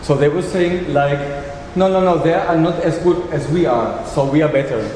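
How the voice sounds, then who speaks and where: Audience man, halting speech, long reverb, faint hiss. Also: on the high side, darkish tone. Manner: halting, searching for words. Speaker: workshop participant. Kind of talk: audience comment. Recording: echoey hall, faint noise.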